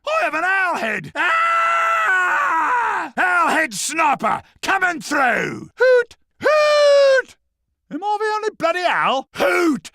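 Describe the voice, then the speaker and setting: A gleeful, cocky owl-headed game sniper, hoots and brags in an Australian accent. The voice is very high for a man, bright and often shouted. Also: huge pitch swings, slow pace, dry recording, studio quality, heavily acted. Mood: gleeful bravado. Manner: cocky, hooting exclamations. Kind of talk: exaggerated video game character acting.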